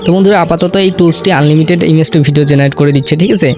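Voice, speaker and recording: A man's voice: a little high, very fast, music in the background. A man presenting an informal step-by-step screen tutorial, often checking that listeners follow along. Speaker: tutorial host.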